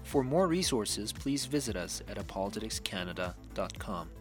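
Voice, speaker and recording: Guy reading a formal intro, lowish voice, music playing under him. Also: lively intonation, normal speed. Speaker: announcer. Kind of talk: scripted recording intro. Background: music bed.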